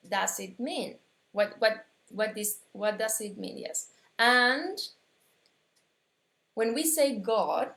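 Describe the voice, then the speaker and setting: A woman from Argentina with an Argentine Spanish accent, teaching a live metaphysics lesson in English, pausing and restarting as she searches for words. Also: medium pitch, roomy sound. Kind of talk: live-streamed spiritual lesson.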